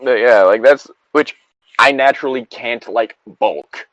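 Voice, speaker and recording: A man's voice: medium pitch, a little slow, fairly bright tone, no room sound, muffled recording. A man in casual banter as a show co-host, rambling, swearing and correcting himself as he goes. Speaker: co-host of a story-reading show.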